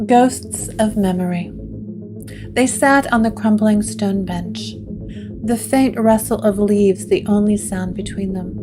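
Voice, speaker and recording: A woman narrating a gothic audio drama in a slow, measured storytelling voice. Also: medium pitch. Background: guitar music.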